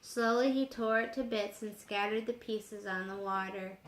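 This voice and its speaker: A woman reading a children's novel aloud as a storyteller, unhurried and expressive in her delivery. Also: mid-range voice, echoey room, a little hiss or hum, low-quality recording.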